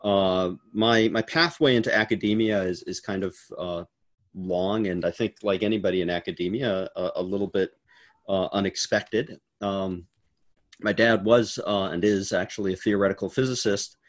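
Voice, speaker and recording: A man in his sixties giving a halting, storytelling answer in an informal virtual interview, with many fillers and the odd laugh. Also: sits low, steady tone. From United States. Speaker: keynote speaker. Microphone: video call.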